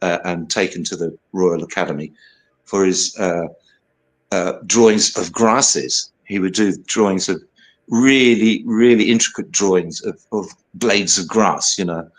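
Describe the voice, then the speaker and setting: Older UK male guest, low baritone, rambling, filler-heavy, casual interview. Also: a little slow. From United Kingdom. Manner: rambling, hesitant, many fillers and "you know". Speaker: guest singer-songwriter.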